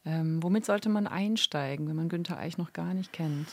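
A woman's voice: on the low side, moderate pace, darkish tone, no echo. A woman presenting a radio book programme and interviewing a guest. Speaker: radio host.

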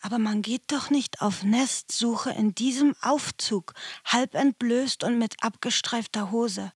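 A prim gossiping wife in a radio play, forever scandalised. The voice is fairly high and husky-breathy, in a very dry, close recording. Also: female voice, a little slow, dry recording.

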